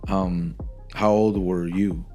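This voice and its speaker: Male interviewer, deep register, informal conversational questioning, mostly English with occasional Spanish, light music underneath. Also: flat delivery, slow pace, mellow. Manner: drops Spanish words into English. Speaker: podcast interviewer.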